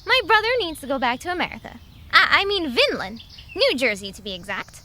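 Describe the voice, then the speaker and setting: A woman playing an excitable, earnest young sister on a quest in an audio drama. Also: high-pitched, a little fast, slight background noise, exaggerated voice. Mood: cheerful. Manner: animated, earnest.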